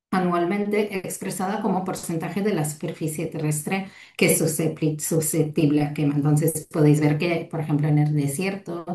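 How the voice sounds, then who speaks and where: A woman with an Italian accent gives a lecture over a video call, pausing often on fillers and restarting phrases. Her voice sits low and mellow. The sound is roomy and a little distant from the mic. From Italy. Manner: hesitant, many fillers and restarts. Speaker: lecturer, fire safety researcher. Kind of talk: webinar lecture.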